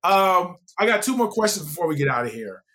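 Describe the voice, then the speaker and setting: Higher-voiced American man hosting casually. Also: sing-song, a little fast, sharp tone. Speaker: interview show host. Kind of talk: casual conversational interviewing.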